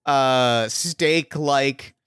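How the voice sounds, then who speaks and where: A man's voice: mid-range voice, extremely slow, a bit bright, clear, resonant tone, no room sound, studio quality. A man acting as game master, thinking aloud with many fillers and restarts as he sets up a scene. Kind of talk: improvising a tabletop game scene.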